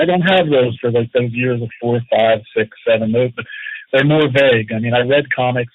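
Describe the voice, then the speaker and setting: A man's voice: medium pitch, no echo. A man in his early forties from Atlantic City, a guest answering questions in rambling, friendly talk full of fillers. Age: 40s. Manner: rambling, lots of ums and you-knows, friendly. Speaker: comics writer and editor, guest. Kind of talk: casual interview. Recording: dry, tinny phone-like line.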